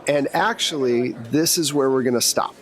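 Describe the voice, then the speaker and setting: Male game master and host, informal and appreciative, medium-pitched voice. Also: normal speed. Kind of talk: casual podcast sign-off.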